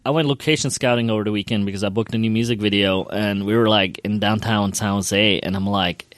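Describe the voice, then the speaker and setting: A man's voice: lowish voice, steady tone, brisk, fairly bright tone, no room sound, clean studio sound. A man with an American accent telling casual anecdotes as a podcast co-host, self-deprecating and quoting people as he goes.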